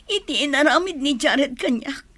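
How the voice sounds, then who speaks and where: A woman's voice: high voice, lively intonation, normal speed, a bit bright. A woman playing an earnest, hurt young wife in a radio drama. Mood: resolute.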